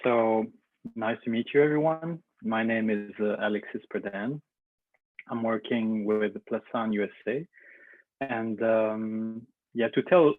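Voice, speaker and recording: A man's voice: fairly low pitch, no room sound. A man from Belgium with a Belgian French accent, whose first language is French, giving a webinar presentation with many hesitant fillers and restarts. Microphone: video call. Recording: tinny phone-like audio.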